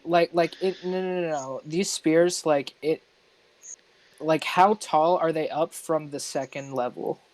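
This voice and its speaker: A man playing a tabletop game, asking questions in a halting, casual way. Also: a little high, steady tone, slight background noise, low-quality recording. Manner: halting, inquisitive. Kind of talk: casual chat.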